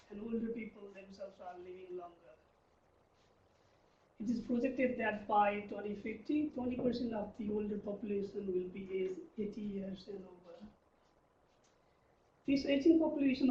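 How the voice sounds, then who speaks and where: Man from nepal presenting research, hesitant delivery, mellow voice, dull distant roomy lecture recording. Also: high-pitched, a little hiss or hum. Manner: hesitant, frequent um and uh fillers. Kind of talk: academic presentation. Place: lecture room.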